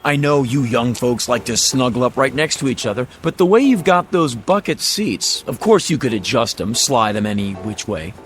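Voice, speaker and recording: An actor in a radio drama playing a fast-talking, folksy used-car salesman who gets flustered and bluntly honest. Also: medium pitch, expressive, faint music behind, lightly acted. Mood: slick, eager. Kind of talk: old-time radio drama acting.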